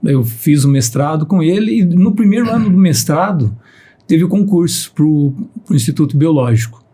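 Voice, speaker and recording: Piracicaba accent guy in his 50s, podcast guest, husky, kinda high pitched, restarts words a lot. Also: moderate pace. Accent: Piracicaba countryside Brazilian Portuguese. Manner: repeats words while starting sentences, steady explanatory tone. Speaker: guest scientist. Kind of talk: podcast conversation.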